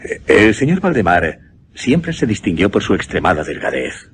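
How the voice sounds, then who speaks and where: Gentleman mesmerist, low voice, animated delivery, formal and deliberate, for radio suspense. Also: slight background noise. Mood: reflective, measured. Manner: formal, deliberate, measured with dramatic pauses. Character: earnest gentleman mesmerist recounting his uncanny experiment. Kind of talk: Spanish suspense radio drama acting.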